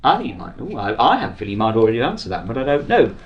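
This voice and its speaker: A woman with a very low voice hosts a live panel chat. Her intonation swings widely as she puts questions to guests, with faint event noise behind her. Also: big pitch swings, racing, lo-fi sound. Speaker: panel host and interviewer.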